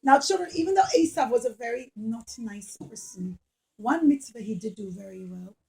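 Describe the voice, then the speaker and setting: A high-voiced woman tells a story to children. She uses simple, emphatic phrasing and addresses her young listeners directly, like a teacher. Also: lively intonation, moderate pace, creaky, a little mellow, poor audio. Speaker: children's puppet show storyteller. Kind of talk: animated storytelling for children, asking questions.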